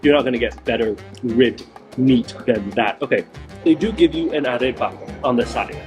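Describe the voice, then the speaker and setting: A man hosting a travel food vlog, a little hoarse and raspy from feeling unwell, talking enthusiastically and repeating words for emphasis. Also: mid-range voice, moderate pace, soft background music. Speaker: food vlogger host. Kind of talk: casual travel vlog narration. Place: outdoors in a small-town plaza, then a tiny eatery.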